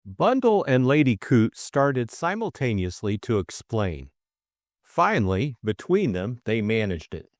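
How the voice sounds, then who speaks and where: A man's voice: mid-range voice, sing-song, measured pace, dry recording, studio quality. A man reading a scripted story recap and novel as an audiobook co-narrator, in dramatic style.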